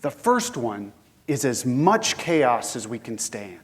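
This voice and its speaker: Brief: male guest lecturer with a head cold, a bit sniffly, chatty and engaging, mid pitch. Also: sing-song, slow. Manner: conversational, engaging the audience with questions. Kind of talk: conference lecture.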